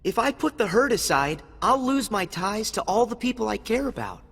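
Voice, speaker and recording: A man's voice: high-pitched, big pitch swings, normal speed, vocal fry, fairly bright tone, breathy edge, heavily acted. A man playing an earnest, kindhearted teenage boy hero in a video game cutscene, sincere and resolute. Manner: sincere, resolute, youthful delivery. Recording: music behind the voice.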